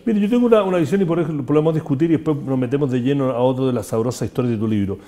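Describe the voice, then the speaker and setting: A man with a Chilean accent hosting a talk-show conversation, talking fast with an opinionated tone and many restarts. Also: medium pitch, warm tone. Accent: Chilean Spanish. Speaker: host.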